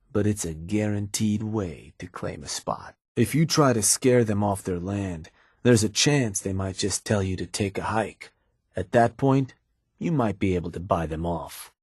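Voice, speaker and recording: An actor playing a laid-back, businesslike raider fixer in a video game, with a husky voice and a casual, wry, streetwise manner. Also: male voice, lowish voice, darkish tone, no room sound, studio quality. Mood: matter-of-fact, wry. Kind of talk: in-game character dialogue, conversational delivery.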